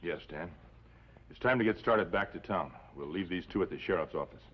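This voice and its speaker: An actor playing an upright, steady masked hero of a 1950s television western, terse and authoritative, with an American accent. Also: male voice, sits low, brisk, big-room sound. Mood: calm, grave. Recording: muffled old TV soundtrack, faint noise.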